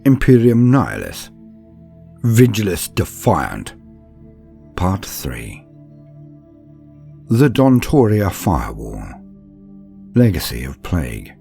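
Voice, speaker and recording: A man reading scripted narration like an audiobook, in a calm, steady, measured manner. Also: sits low, vocal fry. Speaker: narrator reading a campaign book. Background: faint background music.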